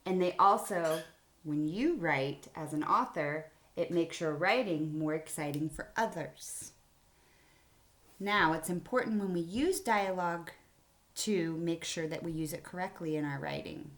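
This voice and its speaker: A woman's voice: on the low side, measured pace. A woman teacher giving a children's writing lesson in simple, explanatory phrases. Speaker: elementary school teacher. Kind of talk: read-aloud writing mini-lesson for children.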